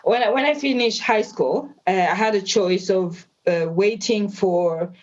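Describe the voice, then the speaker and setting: Woman, 30s, measured and reflective interview guest, mid pitch, slightly muffled recording. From Tanzania. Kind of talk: interview, personal story.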